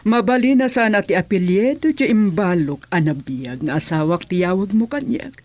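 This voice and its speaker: Radio comedy role: coquettish, affected Ilocano homeowner lady voiced by an actress, mid-pitched, highly animated intonation. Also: moderate pace, no room sound, lightly acted. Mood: coy, flirtatious. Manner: coy, affected, peppered with English phrases. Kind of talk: Filipino radio comedy drama acting.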